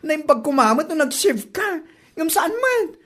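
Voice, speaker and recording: A nosy, excitable Ilocano woman next door , acted by a woman, in a comedy drama. Her high voice swoops widely through dramatic, scandalised exclamations with an Ilocano accent. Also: measured pace. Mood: scandalised, teasing. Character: nosy excitable female neighbour friend, easily scandalised and giggly.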